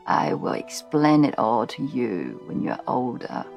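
Ancient calm royal granny, a woman putting her on, slow low gravelly voice, soft music. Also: heavily acted. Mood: serene. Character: very old royal great-great-grandmother, calm, mysterious.

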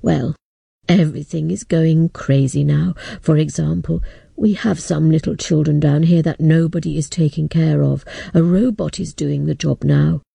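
A woman voicing a solemn, apologetic old man who leads a council, speaking slowly and gravely. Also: deep voice, fairly even intonation, dark tone, dry recording, voice pushed down, exaggerated voice. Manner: slow, grave and regretful.

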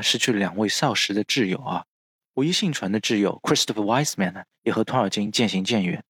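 A man hosts a podcast in a fairly low voice with a rough, husky grain. He explains from notes, often tagging phrases with trailing particles. The recording is very dry. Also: fairly bright tone, dry recording. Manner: frequent drawn-out filler particles at phrase ends. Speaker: podcast host.

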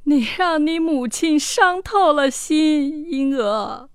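A woman as a slow, sighing, grieving mother. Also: high voice, dry recording, clean studio sound. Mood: sorrowful reproach. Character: sorrowful, reproachful mother grieving over her child. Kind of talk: dramatized fairy tale acting.

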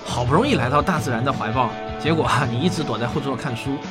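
A man's voice: medium pitch, fast talker, over music, natural voice. A man playing a genial, indulgent father who explains things patiently in a radio drama. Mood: genial teasing.

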